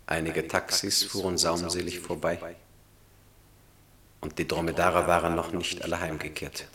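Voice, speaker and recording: A man reading literary radio-play narration, deadpan and unhurried. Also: deep voice.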